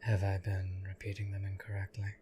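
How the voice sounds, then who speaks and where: A stoic, devoted knight acted by a man speaks in a low, even monotone. His delivery is formal, measured and deadpan, as in an intimate audio drama addressed to his liege. Noticeable background noise sits behind the voice. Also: close to an everyday voice. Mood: earnest, deadpan. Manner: deadpan, formal, measured, archaic courtly phrasing. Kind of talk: scripted audio roleplay addressed to the listener.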